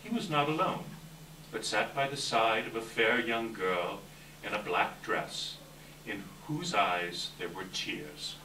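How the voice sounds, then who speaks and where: A man narrating a story from a script in a deliberate, theatrical readers theater style. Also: lowish voice, animated, bright, forceful tone. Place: indoor live event, distant microphone. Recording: echoey hall from far off, faint noise.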